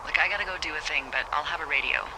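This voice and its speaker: A woman's voice: deep voice, racing, background noise. An actress playing a breezy, wry American woman in a video game, chatting conversationally over a handheld radio. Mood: sympathetic. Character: breezy, wry, friendly woman fire lookout supervisor.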